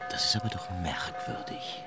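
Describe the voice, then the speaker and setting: A man's voice: normal speed, over music, natural voice. An actor playing an evasive, calculating, womanizing husband in a radio play, with a dismissive manner. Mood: probing.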